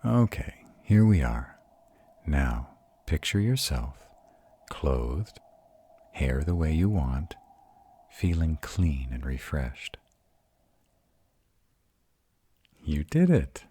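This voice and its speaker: A man's voice: very deep voice, expressive, slow pace, warm tone, slightly rough, heavily acted. A man voicing an arrogant but tender vampire mentor in an intimate roleplay audio drama, soothing and reassuring his mate. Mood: proud.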